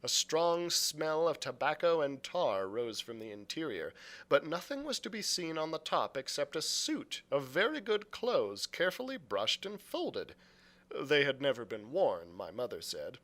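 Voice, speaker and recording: A man narrating a storybook aloud as a librarian would, with deliberate, dramatic phrasing. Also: on the high side, big pitch swings, a bit bright. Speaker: youth services librarian reading aloud.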